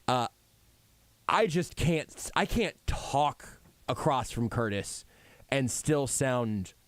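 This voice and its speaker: Guy hosting sports radio, jokey and self-deprecating, pitch bouncing all over, dry close studio mic. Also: animated, slow pace, no echo. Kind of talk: casual on-air banter. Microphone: studio microphone.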